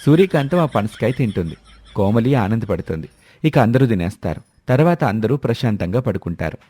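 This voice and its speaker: Mellow-voiced man narrating a story. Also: fairly low pitch, big pitch swings, fairly quick, slightly rough. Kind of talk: scripted storytelling narration of a family moral tale.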